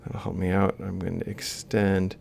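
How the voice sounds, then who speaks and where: A man's voice: deep voice, flat delivery, moderate pace, vocal fry, a little mellow, slight background noise. A man lecturing informally, thinking aloud as he explains step by step while drawing. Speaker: robotics lecturer.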